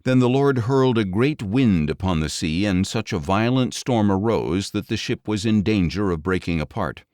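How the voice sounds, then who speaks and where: A man reading scripture as an audiobook narrator in a measured, solemn, dramatic storytelling style. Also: fairly low pitch, animated, darkish tone, no echo, studio quality.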